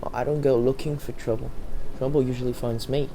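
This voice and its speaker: A man's voice: medium pitch, fairly even intonation, a little fast, warm tone, lightly acted. A man playing a modest, uneasy teenage schoolboy wizard in an audio drama. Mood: wry. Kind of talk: fan audio drama acting. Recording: faint background noise.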